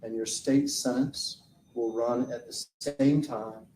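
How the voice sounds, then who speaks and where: Male lawyer, measured questioning, mellow tone, distant roomy courtroom sound. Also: mid-range voice, steady tone, a little hiss or hum. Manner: slow, deliberate questioning. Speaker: prosecutor. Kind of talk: courtroom direct examination. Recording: roomy courtroom audio from far off.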